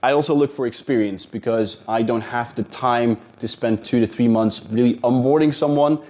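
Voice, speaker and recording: A man's voice: medium pitch, normal speed, a little mellow. A man with a Dutch accent, a business coach talking casually and directly to viewers in an advice video. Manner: direct, informal, addresses viewers as guys.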